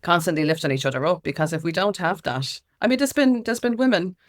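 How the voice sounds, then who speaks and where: A woman's voice: lowish voice, animated, fast. Emphatic Irish woman in candid conversation. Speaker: interview guest, activist.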